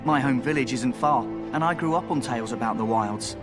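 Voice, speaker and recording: An actor playing a cheeky, wary rogue recruit in a fantasy game, with an informal, streetwise way of talking. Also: male voice, medium pitch, a little fast, close to an everyday voice. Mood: wry, wary. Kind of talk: video game dialogue. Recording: game music behind the voice.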